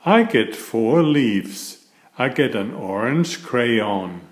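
Slow-paced man reading a children's storybook. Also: mid-range voice, lively intonation. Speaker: storyteller and channel host. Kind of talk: read-aloud children's storybook narration. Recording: roomy, echoing room sound.

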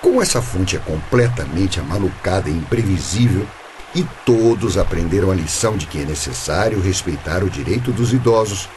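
A man's voice: fairly low pitch, a little mellow, a little hiss or hum. A man with a Brazilian accent playing a mysterious, wry, impatient angel guide in a radio play, with theatrical formal speech. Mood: persuasive.

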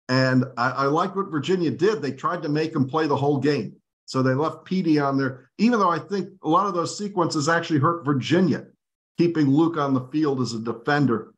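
A middle-aged man hosting a postgame lacrosse chat over a video call, breaking down the play in a measured, analytical way. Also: medium pitch. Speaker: host. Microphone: video call webcam.

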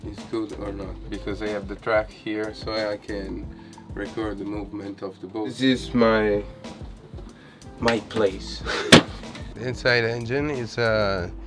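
A man in his thirties with an Italian accent, a sailboat owner showing his boat in a casual tour, talking haltingly and searching for English words. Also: lowish voice, level tone. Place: outdoors on a sailboat deck and cabin. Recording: background music.

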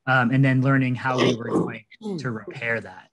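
A man's voice: medium pitch, fairly even intonation, moderate pace, a crowd in the background. Earnest man talking over video call. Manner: earnest, conversational, many restarts. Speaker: panelist. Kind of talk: panel discussion. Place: indoors, small room. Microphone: laptop webcam on a video call.

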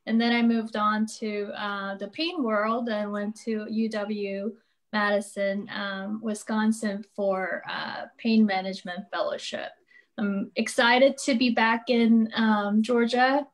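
A woman's voice: mid-range voice, steady tone, slow pace. A woman pain management physician, originally from South Korea, introducing herself cheerfully on a clinic panel. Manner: cheerful, light laughs.

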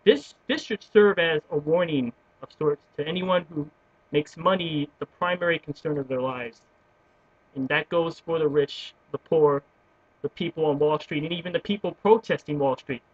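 A man's voice: on the high side, moderate pace, breathy edge, no room sound. A young man talking earnestly into his webcam at home, gesturing as he goes and often stumbling over restarts. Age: young adult. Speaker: vlogger. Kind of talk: opinion rant to webcam. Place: small room at home with bookshelves, indoors. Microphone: webcam built-in mic. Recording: dull lo-fi webcam audio.

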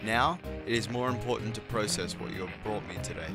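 Bookish lab scientist for radio drama, male actor, low-voiced, formal and exact, music loud underneath. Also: brisk, natural voice. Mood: earnest, composed. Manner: formal, precise wording. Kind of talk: fan radio play acting.

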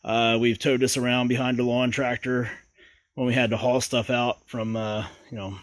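Man, relaxed folksy how-to talk, garage, dry close sound. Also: mid-range voice, moderate pace, no room sound. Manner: relaxed, folksy, chatty with fillers. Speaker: DIY video host. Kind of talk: casual how-to explanation.

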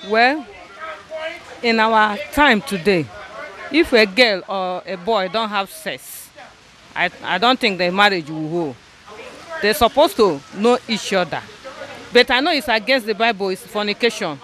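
A young woman answering a street interview outdoors into a handheld mic, earnest and halting, repeating her points. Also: mid-range voice, animated, a bit bright, rough recording. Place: outdoors on a roadside. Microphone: handheld mic held by interviewer.

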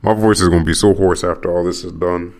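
A man's voice: low-pitched, steady tone, quick pace, a little mellow. A young American man hosting a solo podcast, rambling and restless, repeating himself and cursing as he rants. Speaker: podcast host.

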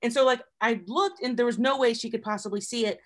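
A man with a high voice hosting a casual interview, chatty and eager with questions. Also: steady tone, quick pace, a bit bright. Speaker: host.

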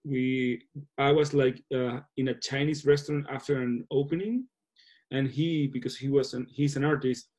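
Mellow-voiced man, halting English. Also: mid-range voice, steady tone. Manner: halting, searching for words. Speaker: filmmaker being interviewed. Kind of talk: casual Q&A on a video call. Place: small room at home. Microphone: laptop video call.

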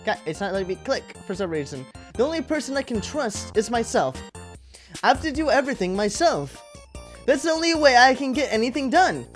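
A man's voice: very high-pitched, big pitch swings, a little fast, faint music behind. A man voicing a gleefully murderous, scheming yandere schoolgirl with dramatic delivery in a visual novel read-aloud. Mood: cold, menacing.

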